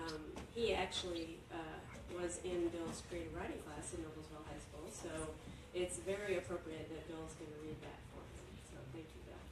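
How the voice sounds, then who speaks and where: A middle-aged woman hosting a live event with informal announcements. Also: fairly low pitch. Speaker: event host. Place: indoor book launch event. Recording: very echoey hall, distant, noisy.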